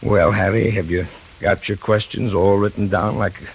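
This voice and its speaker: An actor playing a sly, ailing veteran newspaperman with a husky, halting, weary voice in 1940s radio style. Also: on the low side, fairly even intonation, slight background noise. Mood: sly, tired. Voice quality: gravelly, husky. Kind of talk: 1940s radio drama acting.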